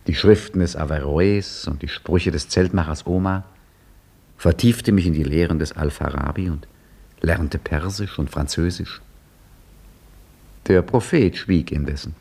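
A man's voice: rumbling low, darkish tone, breathy edge, exaggerated voice. An actor playing Hakim, a brooding, idle husband who narrates his story with wry reflection in a 1950s radio play. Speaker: narrator and lead character. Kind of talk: 1950s radio drama acting.

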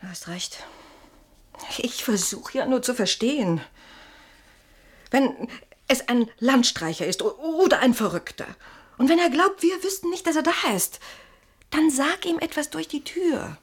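Skeptical, probing wife, very expressive pitch. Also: female voice, unhurried, long pauses, slightly rough, full character voice. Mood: hushed, pensive. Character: probing, skeptical wife, calmly needling her husband. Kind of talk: classic German radio play acting.

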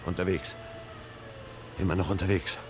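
A man's voice: sits low, level tone, normal speed, noisy background, close to an everyday voice. An actor playing a troubled, self-doubting fireman in a radio drama, brooding and agitated in manner. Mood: agitated resolve. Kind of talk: 1970 German radio drama acting.

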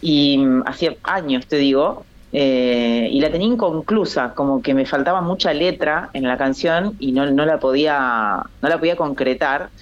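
A woman from the south of Córdoba province who talks with a Santa Fe accent. Her voice sits low, and she chats easily, doubling back over her words with fillers. The sound is dull and lo-fi. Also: normal speed, few pauses. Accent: Santa Fe Argentine Spanish. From Córdoba province, Argentina, near Santa Fe. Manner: chatty, hesitant, many restarts and fillers. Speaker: singer-songwriter guest. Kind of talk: phone interview, casual chat.

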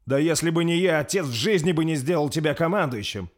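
A man's voice: medium pitch, animated, unhurried, pitched above the performer's own voice. A man voicing an arrogant, hot-tempered young prince who barks out orders in a storybook reading. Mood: furious. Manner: imperious, shouting orders.

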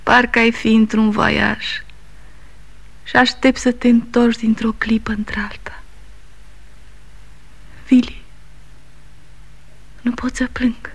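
An actress playing a grieving middle-aged widow in a 1960s radio drama, speaking in a hushed, breathy whisper. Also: female voice, fairly high pitch.

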